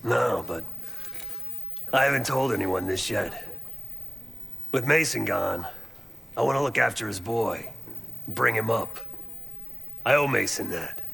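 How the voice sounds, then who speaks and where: Gruff male veteran, forceful tone, rough fry, weary, blunt, loyal, video game drama. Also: lowish voice, animated, a little slow, pauses often, a little hiss or hum, heavily acted. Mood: gruff, reflective. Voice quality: gravelly, forceful. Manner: blunt, profane, casual. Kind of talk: naturalistic video game acting.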